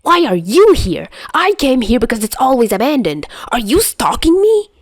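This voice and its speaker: A woman's voice: fairly high pitch, very animated, normal speed, no echo, studio quality, voice pushed up. A woman voicing a manic, indignant young male mad scientist, screechy and pompous, for an amateur audiobook. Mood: screeching accusation.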